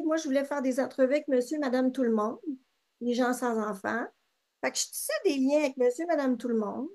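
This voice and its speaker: A woman's voice: sits high, darkish tone. A middle-aged woman with a Québécois French accent, chatting as an interview guest with many fillers and restarts. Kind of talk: casual interview conversation.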